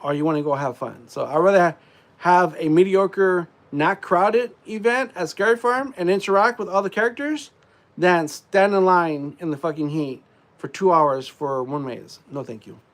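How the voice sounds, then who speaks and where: A congested man rambling casually on a live stream, full of fillers and frequent throat clearing. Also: high-pitched, moderate pace.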